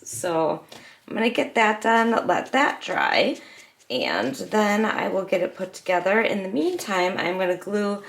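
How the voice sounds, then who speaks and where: A woman hosting a home crafting tutorial, her voice raspy as she gets over a cold. She rambles casually, with frequent ums and self-corrections as she works. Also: mid-range voice, slight background noise. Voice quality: slightly raspy. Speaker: craft channel host. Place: home craft table in the evening.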